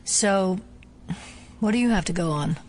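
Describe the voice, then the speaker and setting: An actress playing a cool, methodical female FBI agent and scientist in an audio drama, measured and drily reproving. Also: mid-range voice, darkish tone, a little hiss or hum, close to an everyday voice. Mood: focused.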